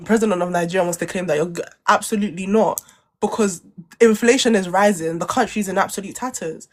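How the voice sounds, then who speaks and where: Insistent woman arguing her point. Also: lowish voice. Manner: insistent, repeats points, talks over interruptions. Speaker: podcast co-host. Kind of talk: heated debate.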